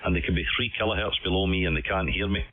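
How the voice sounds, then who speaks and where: A man's voice: low-pitched, rapid-fire, breathy edge, narrow, tinny audio. A man, an amateur radio operator, chatting amiably and casually over a single-sideband radio link. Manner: amiable, chatty, uses "mate". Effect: shortwave single-sideband radio transmission. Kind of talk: casual radio contact chat. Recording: band-limited ham radio signal, faint hiss.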